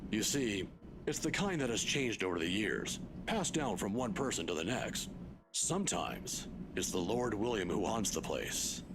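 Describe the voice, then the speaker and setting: A man's voice: fairly low pitch, very animated, measured pace, background noise. An actor playing a kindly, patient old male professor in a video game, scholarly, pedantic and given to chuckling. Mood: enthusiastic storytelling. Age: older.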